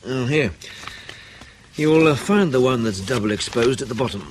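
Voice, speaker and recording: An actor playing a wry, insolent, self-assured intelligence officer in a radio drama, laconic and needling. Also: male voice, mid-range voice, expressive, normal speed, slight background noise, lightly acted, low-quality recording.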